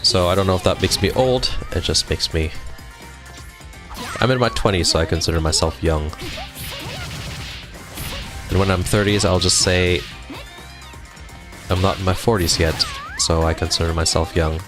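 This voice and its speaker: A man's voice: low voice, normal speed, pauses often. A man in his twenties chatting casually on a live game stream, reading chat aloud and chuckling now and then. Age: 20s. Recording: game music playing behind the voice.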